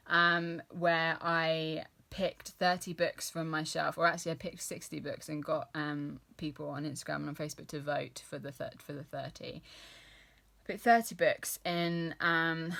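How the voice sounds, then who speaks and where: Low-voiced woman chatting about books. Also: vocal fry, sharp tone. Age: 30s. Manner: rambling, lots of fillers and restarts, laughs at herself. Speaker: book vlogger. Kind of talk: casual chatty book talk.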